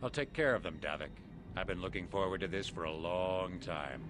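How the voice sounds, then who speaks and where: A man's voice: low voice, animated, normal speed, music in the background. An actor playing a cocky, menacing henchman in a video game, with a taunting, threatening manner. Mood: eager menace. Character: cocky, menacing male henchman of a crime boss. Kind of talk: dramatic video game acting.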